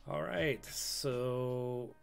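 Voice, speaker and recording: A man's voice: mid-range voice, steady tone, extremely slow, vocal fry, soft background music. A man streaming a sports management game, reading out game news with casual asides. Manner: chatty, reads game news aloud with asides. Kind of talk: casual let's-play commentary.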